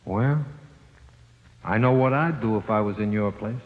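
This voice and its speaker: Sinister, echoing ghost convict. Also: male voice, fairly low pitch, unhurried. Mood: coaxing, menacing. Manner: slangy, matter-of-fact, insinuating. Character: sinister, coaxing male ghost of an executed convict. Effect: long ghostly reverb. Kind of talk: 1940s radio drama acting. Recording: very muffled old radio, long reverb.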